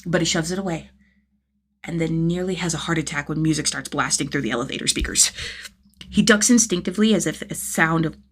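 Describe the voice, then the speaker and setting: A woman narrates fiction in an expressive, storytelling style. Her voice sits a little low for a woman and carries a slight husky grain. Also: fairly quick.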